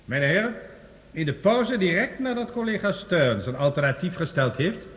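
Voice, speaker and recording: An actor playing a formal scientist addressing an assembly in a radio drama. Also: male voice, a little high, big pitch swings, moderate pace, a bit bright. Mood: formal, explanatory. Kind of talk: Dutch radio drama acting, formal speech. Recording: dull, noisy, reverberant hall recording.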